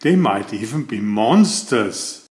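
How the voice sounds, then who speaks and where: Older male storyteller, animated pitch, expressive read-aloud delivery, slightly echoey room. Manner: slow, deliberate, expressive phrasing. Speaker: children's book reader and host.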